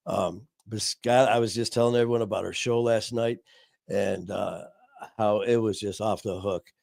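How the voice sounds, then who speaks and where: A man's voice: on the low side, darkish tone, no room sound, clean studio sound. A man hosting his live online show, chatting casually and gratefully, rambling with lots of fillers. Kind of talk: casual live-stream chat.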